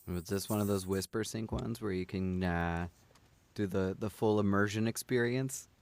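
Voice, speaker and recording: A man's voice: lowish voice, normal speed, vocal fry. A man hosting a book show, chatting casually with guests on a live stream. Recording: some background noise.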